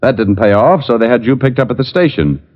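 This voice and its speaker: An actor playing a tough, wry private detective with an American accent and a dry wisecracking manner, in an old radio drama. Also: male voice, medium pitch, expressive, quick pace, close to an everyday voice. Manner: wisecracking, dry, plain-spoken. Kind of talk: 1940s-50s radio drama acting. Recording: dull, lo-fi old radio recording.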